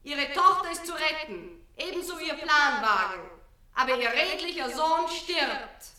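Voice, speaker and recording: Bright, echoing high-voiced woman narrator. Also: expressive, a little slow. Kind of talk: scripted scene announcement. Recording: echoing, distant microphone.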